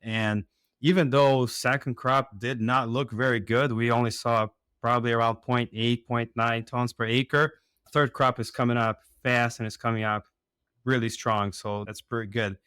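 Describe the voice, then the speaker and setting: A man's voice: medium pitch, flat delivery, normal speed, fairly bright tone, clear, resonant tone, no room sound, studio quality. A man with a Brazilian accent mixed with a Minnesotan one, a dairy consultant chatting casually and upbeat on a podcast, sometimes repeating words as he starts a thought. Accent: Brazilian Portuguese mixed with Minnesotan.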